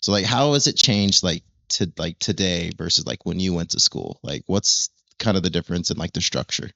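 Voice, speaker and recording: A young man hosting a podcast, rambling through his questions and filling every pause with "like". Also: deep voice, creaky, sharp tone, dry recording, dull audio. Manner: rambling, fills with "like" constantly. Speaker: podcast host. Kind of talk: casual chat.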